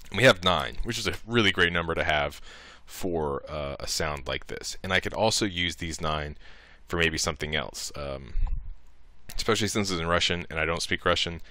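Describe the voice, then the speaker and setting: Man, low-pitched, bright crisp tone, informal tutorial narration, thinking aloud. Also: normal speed, dry recording. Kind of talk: casual software tutorial narration.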